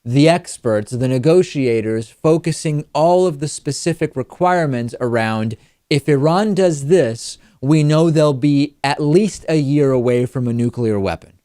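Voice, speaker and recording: A man hosting a political talk show, explaining news and analysis in a measured, qualifying way. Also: on the high side, warm tone, no echo, studio quality.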